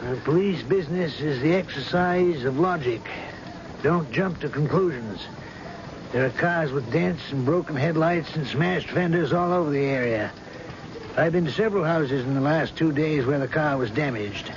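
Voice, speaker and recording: An actor playing a patient, methodical senior police officer with measured authority in a 1970s radio drama. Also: male voice, fairly high pitch, close to an everyday voice. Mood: reproving. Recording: muffled, noisy old radio recording.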